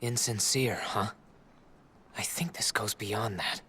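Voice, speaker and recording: A man's voice: on the high side, big pitch swings, a little fast, a bit bright, a little hiss or hum. A man playing a wisecracking teenage boy in an anime dub, casual and dramatic, given to muttered asides. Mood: subdued, reflective. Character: excitable, wisecracking teenage boy lost in a fantasy world. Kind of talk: English anime dub acting. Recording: music behind the voice.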